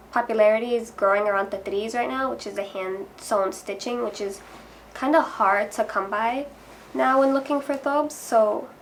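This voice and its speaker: A young woman explains things clearly and casually. Her voice sits in a middle register, with a faint hum of noise behind. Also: medium pitch, rough recording. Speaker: interviewee. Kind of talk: casual personal testimony.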